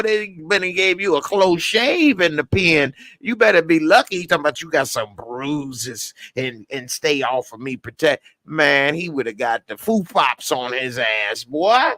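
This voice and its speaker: A man's voice: high-pitched, fairly bright tone, no room sound. Blunt, slangy male TV reviewer. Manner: blunt, slangy, rants with heated outbursts. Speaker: TV series reviewer. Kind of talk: casual spoken episode review.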